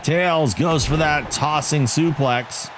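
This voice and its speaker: A man giving excitable wrestling play-by-play commentary for a video game league, joking about himself between calls. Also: a little high, slow pace. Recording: game crowd and noise behind the voice. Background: video game arena crowd.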